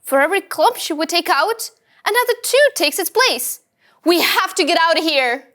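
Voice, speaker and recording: A woman plays a keen young starship officer at a script read. The voice is extremely high and lively in pitch, earnest, nervous and excitable. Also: normal speed. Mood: earnest, worried. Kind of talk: character table read of sci-fi script.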